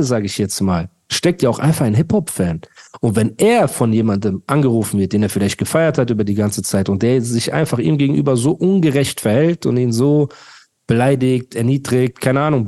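A man's voice: mid-range voice, expressive, a little fast, darkish tone. A young man co-hosting a podcast, ranting heatedly and trading insults while talking over his partner. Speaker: podcast co-host. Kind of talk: casual podcast chat and rant.

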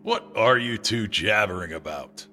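A man's voice: on the low side, unhurried, sharp tone. An actor in an audio drama playing a grouchy, self-indulgent male boss who grumbles irritably. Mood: irritated, grumbling. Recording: reverberant hall, music behind.

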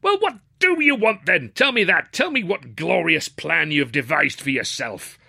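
A man's voice: on the high side, animated, normal speed, gravelly, pushed and bright, breathy edge, no room sound, studio quality, pitched above the performer's own voice, full character voice. A man voicing an irate, blustering father, a strict college head porter who scolds in indignant questions in an audiobook. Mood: exasperated. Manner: indignant, haranguing with rhetorical questions. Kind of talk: audiobook character voice.

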